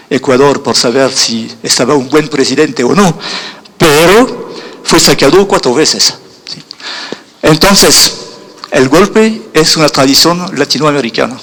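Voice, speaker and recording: Brief: middle-aged man, guest lecturer, slightly high voice, halting and searching for words. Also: a bit bright, low-quality recording. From Europe. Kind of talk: keynote lecture.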